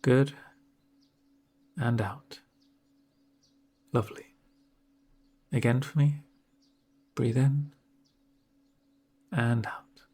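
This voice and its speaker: A man speaking softly in an ASMR roleplay as a doctor, with a gentle, reassuring, unhurried manner and a breathy voice. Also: mid-range voice, fairly even intonation. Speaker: ASMR performer playing a doctor. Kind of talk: soft-spoken ASMR roleplay.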